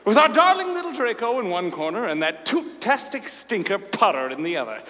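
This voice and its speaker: A man's voice: high voice, big pitch swings, fairly quick, bright, forceful tone, full character voice. A man playing a vain, scheming, flamboyant schoolteacher with a smug, mock-sweet manner, in a comic staged reading. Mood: smug, goading. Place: on stage in a large hall. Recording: echoey live hall.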